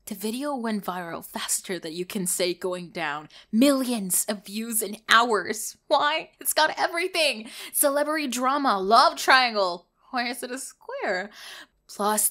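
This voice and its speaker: A woman's voice: fairly high pitch, pushed and bright. A woman playing a dramatic celebrity gossip show host, with punchy, teasing, theatrical delivery of scripted tabloid news. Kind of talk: scripted tabloid broadcast narration.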